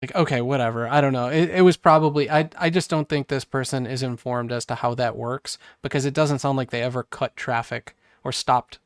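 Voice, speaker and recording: A man with a clear, smooth voice at a middle pitch, recorded close and dry. He hosts casual reaction talk and explains things with skepticism. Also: fairly even intonation, a little fast, few pauses, no room sound. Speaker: show host.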